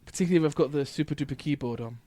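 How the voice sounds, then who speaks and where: Fast-talking Liverpool man, jokey banter. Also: fairly high pitch, dark tone, no room sound. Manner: quick, chatty, jokey. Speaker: podcast co-host. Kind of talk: casual comedic chat.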